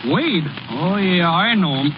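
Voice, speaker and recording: An actor playing a lazy, cocky, food-loving small-town ballplayer in a folksy, boastful, old radio comedy style. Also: male voice, high-pitched, expressive. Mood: easygoing. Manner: folksy, unhurried, boastful, ungrammatical. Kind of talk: 1930s-40s radio comedy acting. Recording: muffled, noisy old radio broadcast.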